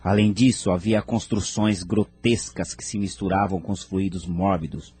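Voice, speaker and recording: A man playing a wise, grave keeper of his people's history in an audio drama, husky and measured as he explains. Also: low-pitched, steady tone, darkish tone. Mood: grave, explaining.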